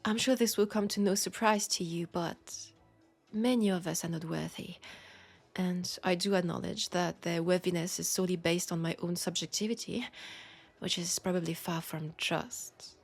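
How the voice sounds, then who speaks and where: A woman with a French accent playing an ancient, lonely, seductive female vampire in an intimate audio roleplay, soft-spoken and deliberate, tender and grave, often slipping toward a whisper. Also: fairly low pitch, fairly even intonation, slightly rough, faint music behind. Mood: solemn.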